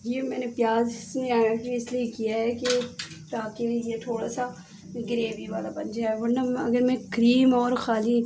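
A woman hosting a home cooking video, talking casually and explaining each step as she cooks at a gas stove. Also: a little high. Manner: chatty, explains each step and says "theek hai" often. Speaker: home cooking channel host. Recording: noisy kitchen, echoey and distant.

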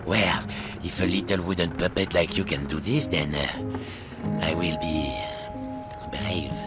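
A man's voice: unhurried, loud music behind the voice. Man doing a rude blustering hermit crab. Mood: vain. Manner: cheeky, blustering, swings high and low.

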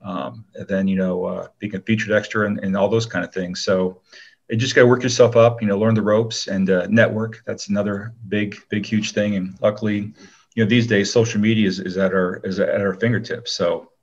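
A middle-aged man with a very low voice talks as a guest in a casual interview. He is modest and conversational. The recording is a little dull and lo-fi. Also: fairly even intonation, brisk, slightly rough.

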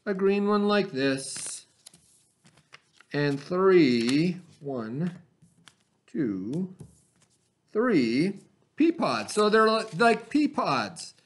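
Slow-talking teacher guiding children. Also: male voice, high voice, big pitch swings. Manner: slow, step-by-step instructions to children. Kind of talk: remote classroom craft lesson. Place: classroom, indoors. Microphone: webcam at desk.

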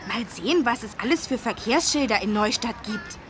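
Lady doing a eager little boy from a kids cartoon game, highish and bouncy. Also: animated, a little fast, background noise, natural voice. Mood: eager, friendly. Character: cheerful curious little boy, cartoon elephant's friend. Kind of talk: children's educational game voice acting.

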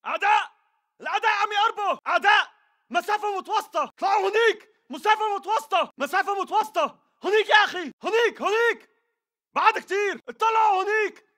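An actor playing an aggressive militant fighter in a video game, barking terse, urgent combat callouts with a Levantine Arabic accent. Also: male voice, very high voice, pushed and bright. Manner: terse, barked battle callouts.

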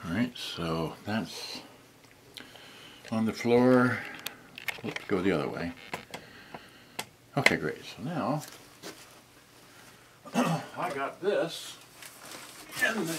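Man in his sixties, very slow halting delivery, casual unboxing chat, muttering to himself, noisy camera-mic recording with clicks. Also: medium pitch, animated. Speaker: video host, boombox collector. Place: cluttered indoor storage room.

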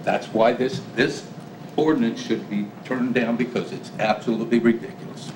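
A man's voice: mid-range voice, fairly even intonation, normal speed. Middle-aged man, business owner at a public hearing, insistent and repetitive, echoey room with audible background noise. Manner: emphatic, repetitive complaining. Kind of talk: public comment at city meeting. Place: small council chamber, standing before audience.

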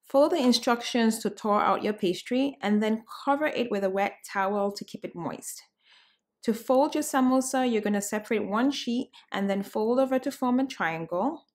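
A woman in her thirties from Cameroon, hosting a cooking tutorial in an upbeat, chatty way and talking straight to her viewers from a bright room at home. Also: mid-range voice, moderate pace. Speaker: cooking show host.

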